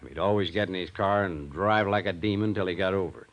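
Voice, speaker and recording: A man's voice: deep voice, fast, highs cut off, natural voice. An actor playing a folksy, shrewd older American small-town newspaperman in a 1950s radio drama. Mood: grim recounting. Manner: folksy, rustic speech.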